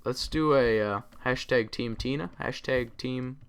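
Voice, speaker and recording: A young man hosting a gaming channel, tired and a bit raspy, rambling casually to himself as he plays. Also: mid-range voice, measured pace. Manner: rambling, talks to himself while playing. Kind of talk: casual gameplay commentary. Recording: clear typing noise behind. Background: keyboard typing and clicks.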